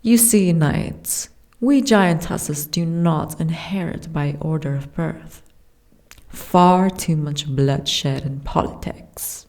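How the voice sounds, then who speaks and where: Gentle giantess princess acted by a woman, low mellow voice, light creak, courtly wording, wistful, soft ASMR fantasy roleplay. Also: takes it slowly. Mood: genteel, gently amused. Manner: formal, archaic, genteel phrasing.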